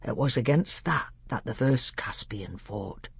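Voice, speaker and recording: A storybook reader voicing an old male tutor who is grave and secretive, with a hushed, deliberate way of talking and old-fashioned phrasing. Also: medium pitch, lively intonation, vocal fry, husky, no room sound, full character voice. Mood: grave, impassioned. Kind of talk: audiobook character voice.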